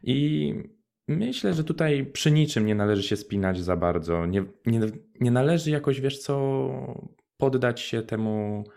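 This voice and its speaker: A man's voice: mid-range voice, lively intonation, unhurried, gravelly, a little mellow. A young man co-hosting a radio show, chatting in an informal, conversational way. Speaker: radio co-host. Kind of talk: casual radio chat.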